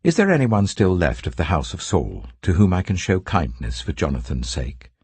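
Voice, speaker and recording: A male narrator gives voice to a commanding, kindly king of ancient scripture with a British accent. The voice is low and very raspy, moving in broad, expressive swings. Every phrase is stately and deliberate. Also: no room sound, exaggerated voice. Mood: regal, benevolent. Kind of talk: dramatized scripture reading.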